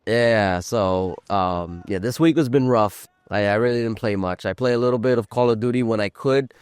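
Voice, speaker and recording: A man's voice: fairly low pitch, moderate pace, darkish tone, smooth, dry recording. A man with a congested, nasal voice from a cold, co-hosting a podcast in loose, rambling casual chat. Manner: loose, rambling, laughs often. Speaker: podcast co-host.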